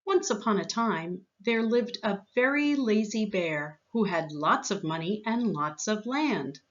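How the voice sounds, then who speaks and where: A woman's voice: mid-range voice, expressive, unhurried, dull audio. A woman reading a children's storybook aloud as narrator. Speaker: story reader.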